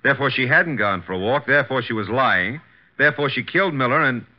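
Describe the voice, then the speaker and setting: An actor playing a brash, breezy detective's assistant in a 1950s radio drama, talking in flippant slang. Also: male voice, medium pitch, expressive, quick pace, lightly acted. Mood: curious, flippant. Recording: noisy, dull vintage 1950s radio recording.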